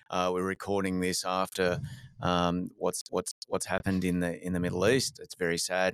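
A man with a very deep, gravelly voice hosts a podcast interview. He speaks in a measured, steady way and fills gaps with the odd "uh". The recording is close and dry. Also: no room sound. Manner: measured, steady delivery with frequent fillers. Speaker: podcast host.

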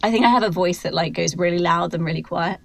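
A woman's voice: on the low side, fast talker, gravelly, no echo. A woman co-hosting a podcast in casual chat, hesitant with many ums and restarts, recording in a hotel-room cupboard on a computer mic that peaks. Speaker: podcast co-host. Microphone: computer mic, peaking.

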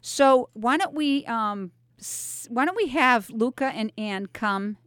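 A middle-aged American woman hosting a talk radio show in a chatty, animated way with emphatic asides and rhetorical questions. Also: a little high, slow, smooth, dry recording, clean studio sound. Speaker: radio host.

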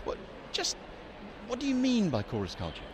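A man's voice: very high-pitched, wildly swooping pitch, normal speed, background noise. A man presenting and interviewing for a radio programme in an enthusiastic way.

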